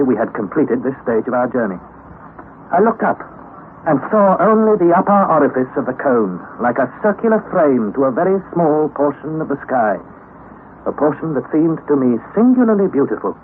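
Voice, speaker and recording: A man's voice: mid-range voice, sing-song, fairly quick, lightly acted. An actor playing an anxious young student who recounts his expedition in earnest, formal, dramatic narration for a radio play. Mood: weary, awed. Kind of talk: dramatized radio narration of a classic adventure novel. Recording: noisy, narrow-band old radio recording.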